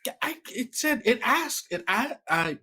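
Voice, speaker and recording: A man playing an excitable, curious kid who stammers and repeats words in a flustered way, in a tabletop game. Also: very high-pitched, slightly rough, voice pushed up, full character voice. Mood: puzzled, curious. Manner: halting, repetitive, flustered stammering.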